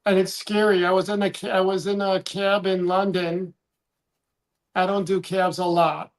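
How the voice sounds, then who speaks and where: A man's voice: high voice, level tone, moderate pace. Rambling American man chatting. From United States. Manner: rambling, trailing off and restarting. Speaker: livestream co-host. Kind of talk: casual livestream chat.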